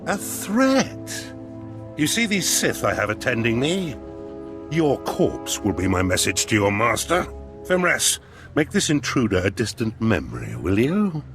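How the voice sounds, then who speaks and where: An actor playing a haughty, scheming Sith duke in a video game, with a pompous, formal, slippery manner. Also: male voice, medium pitch, wildly swooping pitch, a little slow, music in the background. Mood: haughty irritation. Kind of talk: video game cutscene acting.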